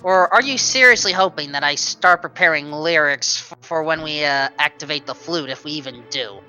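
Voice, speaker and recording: Bright-voiced woman commenting on a speedrun. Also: low voice, moderate pace, smooth, soft background music, rough recording. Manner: playful, teasing, explains game logic. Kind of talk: casual play-by-play commentary.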